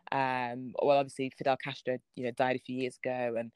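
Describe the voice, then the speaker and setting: A woman's voice: very deep voice, flat delivery, a little fast, a little mellow, smooth, no room sound. A young woman guest telling travel stories in casual chat, laughing often. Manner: laughs often, chatty storytelling.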